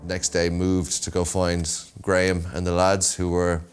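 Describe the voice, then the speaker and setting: A man's voice: low-pitched, monotone, a little slow. An Irish man narrating his own documentary in a casual, reflective voice-over, often adding "you know". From Ireland. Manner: casual and conversational, with many "you know" fillers. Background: music under the narration.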